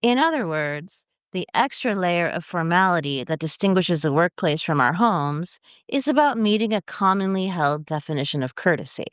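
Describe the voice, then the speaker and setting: Woman narrator, lowish lively voice, dry close-mic read of a feature article. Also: clear, resonant tone, no echo. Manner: measured, expressive read-aloud delivery. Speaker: editor reading her own article.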